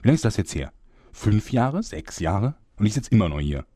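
A man's voice: on the low side, very animated, moderate pace, mellow, no room sound, studio quality. An actor playing a vain, fed-up male narrator in a comedy audio play, pompous and theatrical. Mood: indignant, grumbling. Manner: theatrical, pompous, self-important.

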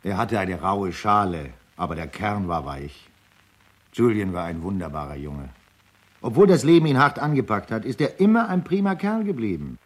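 A loyal war buddy testifies in a radio play. His voice is lowish and husky, with lively swings in pitch as he vouches for his friend. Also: moderate pace. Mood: earnest, defending.

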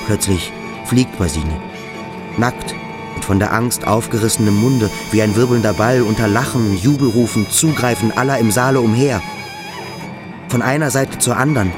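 An actor in a German radio drama playing an intense young man who speaks in halting, dramatic fragments. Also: mid-range voice, lightly acted. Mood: agitated, breathless narration. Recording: music behind the voice. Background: music with strings.

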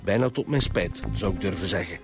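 A man's voice: sits low, a little fast, music in the background, natural voice. A man with a Flemish accent playing a defensive, self-righteous husband under police questioning in a radio drama. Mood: irritated, fed up. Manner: self-righteous, weary, curt. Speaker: actor in a radio detective serial.

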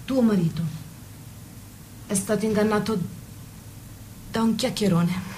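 A woman's voice: mid-range voice, unhurried, warm tone, slight background noise, close to an everyday voice. A woman acting a worldly, loyal confidante in an intimate stage-style drama, blunt, protective and indignant. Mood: concerned, outraged. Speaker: stage actress. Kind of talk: intimate theatrical radio drama.

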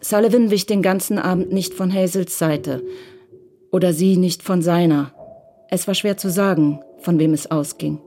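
A woman's voice: lowish voice, normal speed, mellow, a little hiss or hum. A woman reading scripted literary narration as the narrator of a radio drama. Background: music under the voice.

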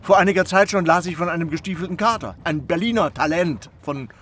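A man playing a genteel, curious and chatty gentleman traveller in a period radio play, theatrical and jovial in manner. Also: a little high, fast, lightly acted. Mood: amused, incredulous. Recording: noisy moving-coach sound effects.

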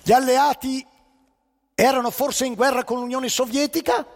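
A man's voice: very high voice, fairly even intonation, moderate pace, gravelly, big-room sound. A man telling a story on stage, emphatic and ironic, with pointed rhetorical questions. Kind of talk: theatrical storytelling monologue.

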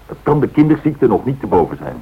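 A man's voice: mid-range voice, sing-song, quick pace, husky. An actor playing an authoritative male airline director in a radio drama, firm and businesslike. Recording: thin, phone-like old radio recording.

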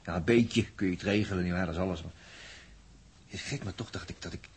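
An actor playing a gruff, impatient, hot-tempered crewman in a 1960s radio drama, blunt and grumbling. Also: deep voice, fast talker. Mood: restless, grumbling.

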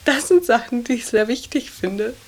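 A woman's voice: on the high side, moderate pace, a little mellow. A woman giving a reflective, informal answer to an interview question.

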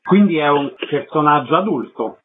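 A man with a fairly high voice works as a radio interviewer. He delivers announcements and brief questions, and the sound is thin and narrow like a telephone. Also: animated, moderate pace, slight background noise.

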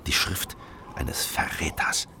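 An actor playing a haunted revolutionary in a historical radio drama, narrating in a grave, terse, introspective way. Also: male voice, slow pace, whispered, close to an everyday voice. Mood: brooding, bitter. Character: brooding, haunted male revolutionary in hiding, 16th-century Germany. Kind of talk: literary first-person radio drama narration. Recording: clear ambient noise behind.

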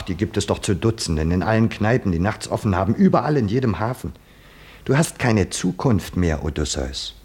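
An actor playing a stern, mocking mentor in a 1950s German radio play, husky and insistent in his admonishing. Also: deep voice, a little mellow, heavily acted.

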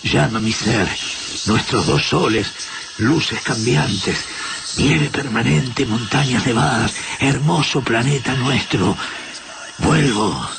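A man playing a gentle, mournful dying alien slave in a radio drama, speaking halting broken sentences in short fragments. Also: medium pitch, creaky, heavy background noise. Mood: fading, longing.

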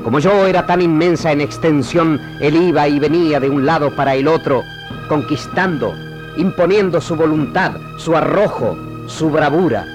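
An actor with an Argentine accent voicing the vast pampa as a solemn, poetic epic storyteller in a radio drama. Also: male voice, on the high side, moderate pace, music in the background, natural voice, low-quality recording. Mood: solemn, majestic. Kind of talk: scripted radio drama narration.

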